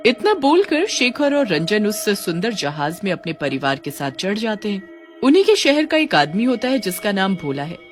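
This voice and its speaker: Very lively woman story narrator. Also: mid-range voice, moderate pace, soft background music. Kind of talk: scripted cartoon story narration.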